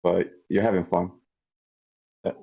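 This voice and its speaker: A man giving casual spoken critique of a script in an online meeting, halting and thinking aloud. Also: low voice, little pitch movement, gravelly, mellow, breathy edge. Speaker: script feedback participant. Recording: slightly dull online meeting audio.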